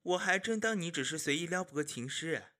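A man playing a sharp-tongued, articulate confidant of an imperial official in a Chinese costume audio drama. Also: a little high, lively intonation, a little fast. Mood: wry teasing. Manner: wry, articulate, needling.

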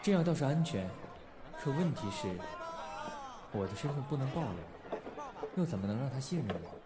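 A man playing a controlled, calculating undercover agent in a radio drama, measured and guarded. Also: mid-range voice, expressive, heavy background noise, lightly acted. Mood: brooding. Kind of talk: Chinese radio drama acting.

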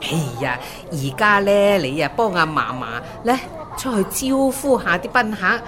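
A woman playing a doting, nagging eighty-year-old grandmother in an audio drama, with a Hong Kong Cantonese accent and coaxing, drawn-out phrases. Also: mid-range voice, sing-song, crowd noise. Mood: insistent, confiding. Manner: drawn-out, coaxing, scolding fondly.

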